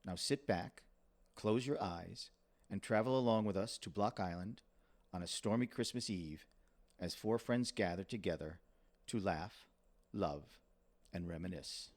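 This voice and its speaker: Deep, slow male announcer. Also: a little mellow. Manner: measured, deliberate. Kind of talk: scripted audio play introduction.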